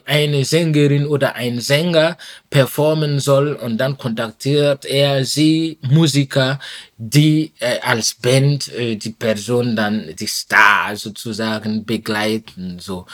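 Slow, hesitant man. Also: medium pitch, fairly bright tone. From Burkina Faso. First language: Mooré. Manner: slow, hesitant, many "äh" fillers. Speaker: musician guest. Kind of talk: interview answers.

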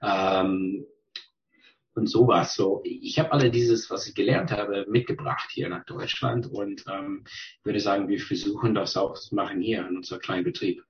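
A middle-aged Canadian man, a native English speaker and restaurant chef, speaking halting German full of fillers and restarts in a casual interview. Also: on the low side. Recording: dull lo-fi sound.

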